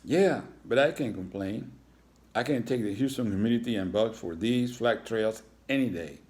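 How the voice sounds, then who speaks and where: A man's voice: fairly low pitch, normal speed, darkish tone, close to an everyday voice. Man voicing an upbeat athletic iguana dad. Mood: cheerful. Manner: hearty, encouraging fatherly talk.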